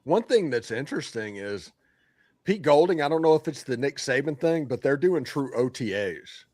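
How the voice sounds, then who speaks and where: A man hosting a casual sports podcast, telling animated, joking stories. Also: mid-range voice, moderate pace, dry recording. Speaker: podcast host.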